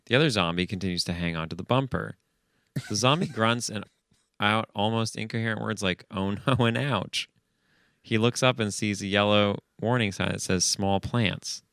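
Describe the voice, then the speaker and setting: A man's voice: on the low side, normal speed, vocal fry, fairly bright tone, no echo, clean studio sound. A man co-hosting a comedy podcast, reading a screenplay aloud and breaking off for sardonic, scoffing banter. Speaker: podcast co-host.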